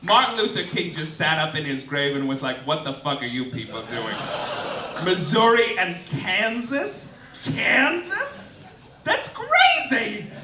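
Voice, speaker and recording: A man's voice: high voice, huge pitch swings, unhurried, crowd noise. A man doing a satirical stand-up monologue as host, in a campy, theatrical manner with mock-formal announcements. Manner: campy, theatrical, swooping emphasis. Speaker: stand-up comedian hosting a live show. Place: indoor cabaret club stage. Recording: roomy live stage, distant, clearly noisy.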